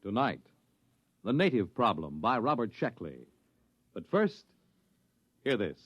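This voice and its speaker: A man's voice: lowish voice, big pitch swings, measured pace, breathy edge. A man giving a portentous, theatrical scripted introduction to a 1950s radio show. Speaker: radio announcer. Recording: muffled vintage radio recording. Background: prominent music.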